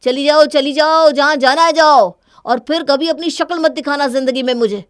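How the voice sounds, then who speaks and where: A woman's voice: high-pitched, moderate pace, no echo, studio quality, pitched above the performer's own voice, lightly acted. A woman voicing a hurt, guarded young husband in a cartoon story, with an earnest, plaintive manner. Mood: angry, hurt.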